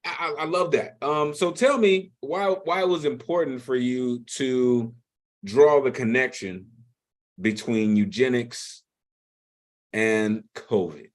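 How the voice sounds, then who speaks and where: A man's voice: medium pitch, slow. A man hosting an online poetry open mic, effusive and emphatic, with slangy talk and repeated phrases. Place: online video call.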